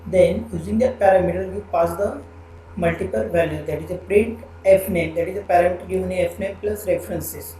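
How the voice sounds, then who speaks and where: A man giving a programming lecture, explaining step by step with halting phrasing and repeated fillers. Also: sits high, warm tone. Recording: echoey room with background noise.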